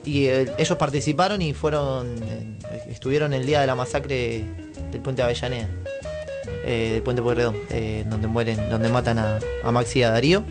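A man's voice: medium pitch, fairly even intonation, moderate pace. Argentine man talking over guitar music. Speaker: radio show co-host. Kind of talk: casual radio chat. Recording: music clearly playing behind the voice.

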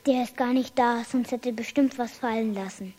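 Casting: woman as small girl, high voice, affectionate, eager and headstrong, children's radio play. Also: steady tone, normal speed, warm tone, close to an everyday voice. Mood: hushed, coaxing. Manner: pleading, childlike.

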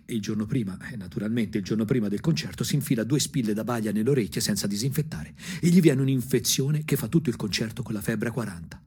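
A man with a Tuscan accent and a husky voice performs an ironic, angry, emphatic theatrical monologue for a punk radio drama. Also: on the low side, fast talker, hardly any pauses, a little mellow. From Anghiari, Tuscany, Italy. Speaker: stage actor and storyteller. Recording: music under the voice. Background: music.

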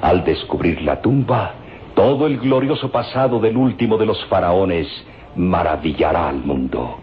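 A covetous professor. He is slow, weighty and insistent, in the manner of old radio drama. The recording is dull, with faint music underneath. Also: low-pitched, lively intonation, a little hiss or hum. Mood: fervent persuasion. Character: eager, covetous male professor obsessed with ancient tombs. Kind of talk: old radio serial acting.

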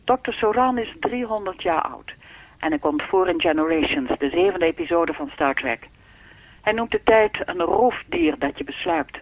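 Radio play: all-knowing keeper of time, unruffled and lecturing, high-pitched, tinny phone sound. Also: male voice, big pitch swings, normal speed, dry recording. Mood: calm, lecturing. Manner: formal, lecturing, unruffled, faintly teasing. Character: enigmatic all-knowing keeper of time's audio archive. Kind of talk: radio drama dialogue. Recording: thin, dry telephone-line sound.

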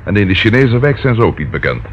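A chatty, eager police detective in a radio play. His lowish voice rises and falls widely as he informally reports with dry humor. The sound is muffled and lo-fi. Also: fast talker. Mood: breezy, reporting. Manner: lively, informal, relishes reporting findings. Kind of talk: Dutch radio play acting. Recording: dull lo-fi old radio recording, faint noise.

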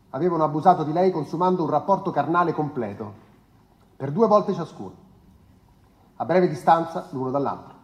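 A man playing a formal narrator who reads a police report in measured documentary style. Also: fairly high pitch, muffled recording, lightly acted. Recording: echoey room.

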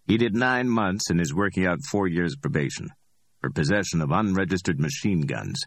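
A man's voice: low voice, moderate pace. Man doing a dry no-nonsense bail bondsman. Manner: flat, matter-of-fact. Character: dry businesslike middle-aged bail bondsman.

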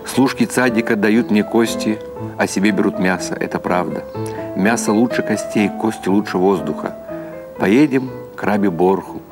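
Man as a penniless witty husband, low-pitched, wry, reflective, unhurried, audiobook folk tale. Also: over loud music, natural voice. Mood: musing.

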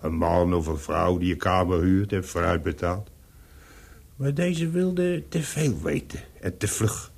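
An actor playing a discreet, worldly-wise Paris hotel servant in a radio play, with a gravelly, husky voice and a deferential, dry, hesitant manner. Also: male voice, deep voice, lively intonation, a little slow, a little mellow, exaggerated voice. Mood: knowing, cagey.

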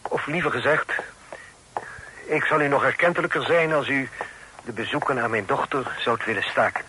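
A man's voice: mid-range voice, moderate pace, bright, forceful tone, close to an everyday voice. An actor playing a courteous, worried middle-aged father who speaks solemnly and formally in an old radio drama. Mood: grave, worried. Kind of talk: vintage Dutch radio drama acting. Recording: noisy old radio play recording.

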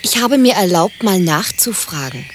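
A woman's voice: mid-range voice, expressive, normal speed, slight background noise, natural voice. An actress in a radio play playing a haughty, easily offended woman musician with a pompous manner. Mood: haughty, indignant. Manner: pompous, indignant.

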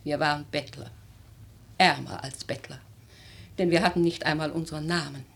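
A woman playing an aristocratic old grandmother in a German radio drama, speaking in a theatrical, measured, lyrical way, worldly and imperious. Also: sits low, steady tone, creaky, slightly rough, exaggerated voice. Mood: grave, remembering. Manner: theatrical, measured, lyrical, with emphatic swells and hushed phrases.

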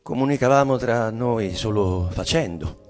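An actor playing a domineering, probing husband in a dramatic radio reading, with deliberate, insistent, theatrical delivery. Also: male voice, on the low side, soft background music, natural voice. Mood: brooding.

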